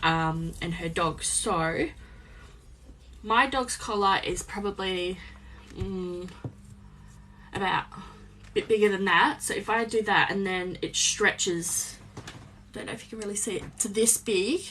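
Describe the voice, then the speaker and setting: Croaky-voiced woman sewing live. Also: mid-range voice, slow, long pauses, a bit bright, a little hiss or hum, poor audio. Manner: chatty, rambling, many ums. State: slightly croaky voice. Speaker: small business owner live-streaming crafts. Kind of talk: casual chat while sewing. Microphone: phone on a live stream.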